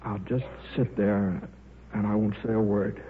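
An actor playing a shy, absent-minded middle-aged scientist in a 1950s radio drama, with a hesitant, stammering delivery. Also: male voice, fairly low pitch, fairly even intonation, unhurried, close to an everyday voice. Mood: weary, resigned. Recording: muffled, noisy old radio broadcast.

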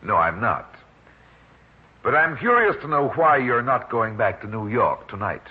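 An actor playing a suspicious, self-important American local politician in a 1940s radio drama, husky and pointed. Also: male voice, medium pitch, big pitch swings, unhurried. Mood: probing. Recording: very tinny old radio recording.

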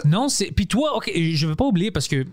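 A man hosting a podcast in casual chat, playful and quick to swear while telling stories. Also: high-pitched. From Quebec. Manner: animated, swears often, playful storytelling. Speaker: podcast host.

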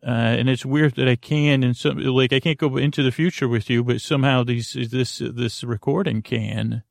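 A man hosting a sleep podcast in a creaky voice, rambling through a soothing bedtime monologue in a meandering, halting, stammering way. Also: medium pitch, steady tone, no room sound, studio quality. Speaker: podcast host.

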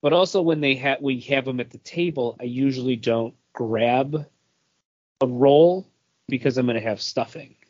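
A man's voice: medium pitch, normal speed, a little mellow, no echo. Man chatting on dry, muffled audio. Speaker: podcast host. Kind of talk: casual group chat.

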